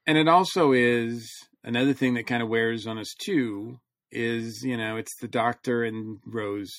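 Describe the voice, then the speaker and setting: A man's voice: medium pitch, unhurried, fairly bright tone, no room sound, clean studio sound. A man on a podcast panel talking through a casual review, rambling a little as he thinks aloud.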